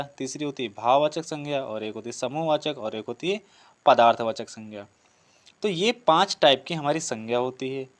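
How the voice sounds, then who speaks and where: A man teaching a grammar lesson, explaining step by step with repetition, listed examples and rhetorical questions. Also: mid-range voice. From India. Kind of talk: online exam-prep grammar lesson.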